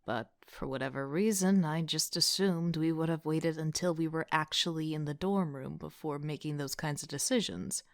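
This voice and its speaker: A woman's voice: deep voice, moderate pace, hardly any pauses. A woman playing a wry, casual young student team leader who narrates an audio drama in the first person with sardonic asides. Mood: wry, relaxed. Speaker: voice actor.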